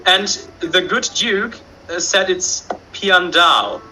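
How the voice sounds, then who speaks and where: A man reading trivia questions on a casual show, his voice high and strikingly bright. He pauses often on fillers as he works through each answer. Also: soft background music, low-quality recording. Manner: hesitant, filler-heavy reading aloud. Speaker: question reader.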